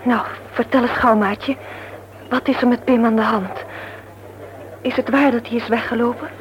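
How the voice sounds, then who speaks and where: Anxious young woman, played by an actress, high voice, earnest and confiding, vintage radio-drama style, muffled old recording. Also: fairly even intonation, moderate pace, slight background noise, close to an everyday voice. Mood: curious. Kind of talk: vintage Dutch radio serial acting.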